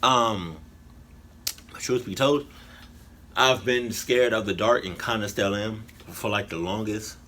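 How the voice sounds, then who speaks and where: A man giving casual, rambling reaction commentary in colloquial slang, haltingly and often repeating his phrases. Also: fairly low pitch, sharp tone, a little hiss or hum. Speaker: reaction video host.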